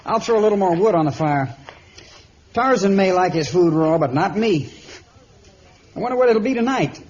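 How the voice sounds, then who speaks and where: Boyish, animated young man in the jungle. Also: high voice, normal speed. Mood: weary, wry. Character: young male jungle traveler, good-natured but worried. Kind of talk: old radio adventure serial acting. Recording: muffled, noisy vintage radio recording.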